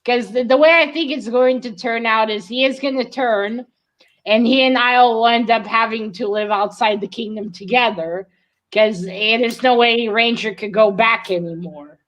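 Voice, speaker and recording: A woman's voice: a little high, normal speed, fairly bright tone, rough recording. A woman co-hosting a read-along in casual discussion, thinking aloud and speculating with some hesitation. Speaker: co-host of a book read-along.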